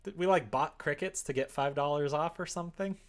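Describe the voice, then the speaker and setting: A man's voice: a little high, fairly quick. Casual, rambling man chatting on a livestream. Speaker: live streamer. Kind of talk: casual chat with stream viewers, reading out their comments.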